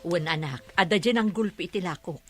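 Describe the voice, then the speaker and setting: A middle-aged woman with an Ilocano accent playing a gentle, worried village mother. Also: lowish voice, fairly quick, close to an everyday voice. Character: anxious village mother of a missing son.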